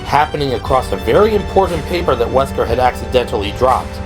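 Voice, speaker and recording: A man's voice: mid-range voice, animated, fast talker. A man delivering scripted, dramatic narration for an audio drama. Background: dramatic background music.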